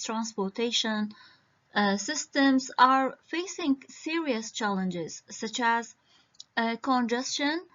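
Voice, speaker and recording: A woman from Iraq giving an academic conference presentation over a video call, halting and full of hesitations. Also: a little high, fairly bright tone. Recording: muffled lo-fi video-call audio.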